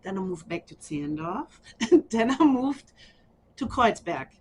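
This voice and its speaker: A middle-aged woman from Germany with a German accent, speaking softly and haltingly with small laughs in an oral history interview. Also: medium pitch, sing-song, slight background noise. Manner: soft, halting, with frequent ums and small laughs.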